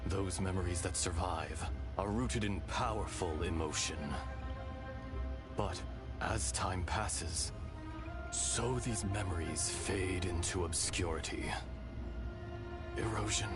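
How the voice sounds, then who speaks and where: A man voicing an ancient, benevolent dragon spirit in a human body, speaking gravely and deliberately in a dramatic game performance. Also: low voice, exaggerated voice. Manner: slow, grave, deliberate, measured delivery. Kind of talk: dramatic game character voice acting.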